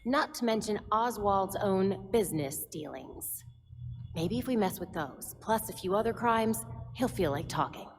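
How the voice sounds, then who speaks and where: A woman's voice: medium pitch, lively intonation, measured pace, slight background noise. A woman playing a determined, thoughtful young vigilante heroine in a video game, speaking earnestly and conversationally. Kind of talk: video game cutscene acting.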